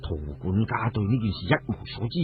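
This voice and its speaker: A man's voice: fairly even intonation, close to an everyday voice. A man voicing a grave ancient warrior in a storybook tale, solemn as he reports danger. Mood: grave, urgent. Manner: solemn, measured, low and hushed. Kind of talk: storybook character dialogue. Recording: tinny narrow-band radio sound, music behind.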